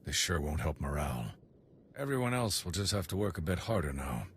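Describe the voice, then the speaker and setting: An actor playing a gruff, stern male military commander in a video game, speaking in a clipped, authoritative way. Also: sits low, very animated, brisk, slight background noise. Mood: resigned. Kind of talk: dramatic video game dialogue.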